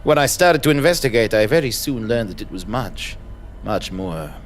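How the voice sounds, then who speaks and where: Pompous male journalist-narrator for audio drama actor; grandiose, deliberate, lively intonation, light music bed. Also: mid-range voice, lightly acted. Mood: grave, portentous. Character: pompous, self-regarding male investigative journalist narrating his case. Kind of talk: mock-noir scripted audio drama narration.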